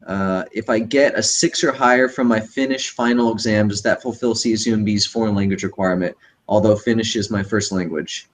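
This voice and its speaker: Measured man reading out questions. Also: fairly low pitch, fairly even intonation, slight background noise. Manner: measured, reads questions aloud slowly. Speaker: livestream moderator reading questions. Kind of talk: casual livestream Q&A.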